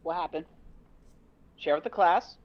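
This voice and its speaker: A man in his twenties co-hosting a casual talk show, breaking into loud bursts and mock-gruff voices for jokes. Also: a little high, a little slow, dull audio. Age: 20s. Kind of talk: casual chat.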